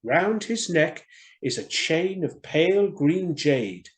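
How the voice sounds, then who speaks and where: A man's voice: fairly high pitch, expressive, slow. A man reading a story expressively for children, in a theatrical and friendly storyteller's manner.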